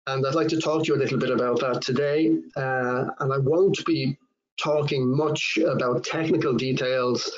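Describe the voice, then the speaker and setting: An Irish man in his fifties, a supply chain consultant, giving a measured, formal webinar talk with frequent fillers and restarts. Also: mid-range voice, fairly even intonation. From Ireland. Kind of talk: webinar presentation. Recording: dull lo-fi webinar audio.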